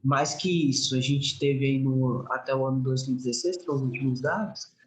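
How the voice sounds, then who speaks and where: A man's voice: mid-range voice, steady tone, brisk, rough recording. A young man with a Northeastern Brazilian accent, giving an informal health talk to a group as a guest speaker. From Alagoas, northeastern Brazil. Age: young adult.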